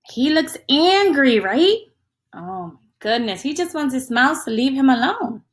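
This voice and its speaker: Slow, very expressive woman reading to children. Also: high voice. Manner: expressive, teacherly, asks the listener questions. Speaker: storyteller reading a picture book to young children. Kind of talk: interactive children's read-aloud.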